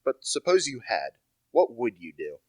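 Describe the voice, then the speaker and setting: A young man playing a precise, brooding, intellectual gentleman detective in a radio play, deliberate and theatrical in delivery. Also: mid-range voice, huge pitch swings, no echo. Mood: probing. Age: young adult.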